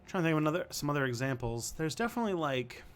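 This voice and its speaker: A man's voice: on the high side, very animated, racing. A young man from Canada hosting a livestream, answering viewer questions casually and thinking aloud with lots of ums and restarts.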